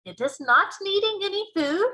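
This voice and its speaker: Woman voicing a gentle giant with bright tones. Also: very high voice, expressive, moderate pace, voice pushed up, heavily acted. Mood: earnest, explaining. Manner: quirky mangled grammar. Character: gentle old male giant, solemn and proud.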